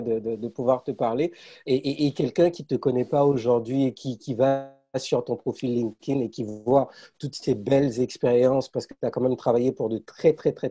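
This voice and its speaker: Upbeat co-host with a mellow voice. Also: male voice, medium pitch, breathy edge. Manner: upbeat, stumbles with repeated words. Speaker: podcast co-host. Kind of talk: hosting an interview.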